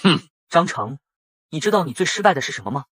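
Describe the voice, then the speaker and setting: A man's voice: a little high, big pitch swings, fast talker, a bit bright, husky, dry recording, clean studio sound, heavily acted. A man voicing a defiant, hot-headed young working-class hero in an audio comic drama.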